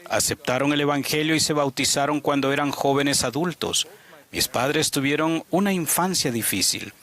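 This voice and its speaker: A man's voice: fairly high pitch, moderate pace, fairly bright tone. A man interpreting a sermon, telling a story with an earnest delivery.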